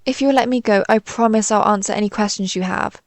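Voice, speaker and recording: A woman's voice: medium pitch, fairly quick, dry recording, close to an everyday voice. A woman playing a pompous, easily flustered female alien invader in a scripted audio roleplay, with haughty, theatrical, formal phrasing. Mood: pleading.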